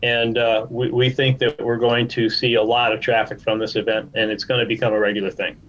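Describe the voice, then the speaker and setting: A man's voice: medium pitch, flat delivery, a little fast, fairly bright tone, muffled recording. A man speaking conversationally as a guest executive in a podcast interview. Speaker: podcast guest, trade association chief executive.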